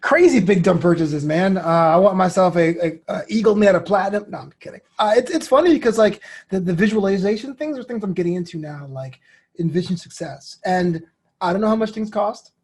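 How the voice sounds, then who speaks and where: Jokey man with a slightly husky voice. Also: sits high, fairly quick, a little mellow. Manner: jokey, conversational. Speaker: interview guest, podcaster and comedian. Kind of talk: casual chat.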